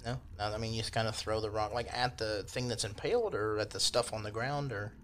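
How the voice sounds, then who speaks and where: A man's voice: lowish voice, brisk, a bit bright, a little hiss or hum. A man acting as game master, improvising descriptions in a casual, rambling, colloquial way.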